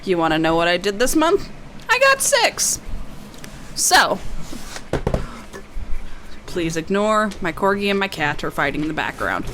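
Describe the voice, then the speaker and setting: A woman's voice: on the low side, very animated, unhurried, pauses often. A woman book vlogger talking casually in a rambling, self-deprecating way, often drifting into tangents. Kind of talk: casual chatty unboxing vlog. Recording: clear background noise.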